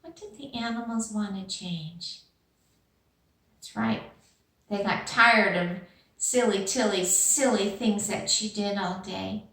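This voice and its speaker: A woman teacher reading a storybook aloud and talking to young children, expressive, with questions and pauses for them. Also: medium pitch, slightly rough. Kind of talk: animated storybook read-aloud and lesson.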